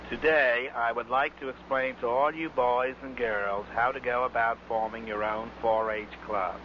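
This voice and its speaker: A man's voice: medium pitch, fairly even intonation, normal speed. A man, an adult club leader, giving an explanatory radio talk to children. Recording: dull, clearly noisy vintage recording.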